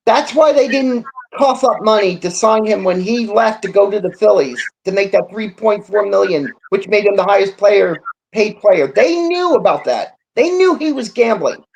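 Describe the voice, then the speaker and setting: A man's voice: high voice, expressive, moderate pace, vocal fry, low-quality recording. A man co-hosting a casual sports talk show, giving emphatic, indignant opinions with conversational asides.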